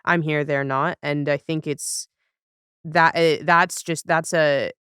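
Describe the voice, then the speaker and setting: A woman's voice: deep voice, steady tone, takes it slowly, a bit bright, clean tone, no echo, studio quality. A woman chatting casually as a podcast guest, thoughtful and often searching for words as she talks about television.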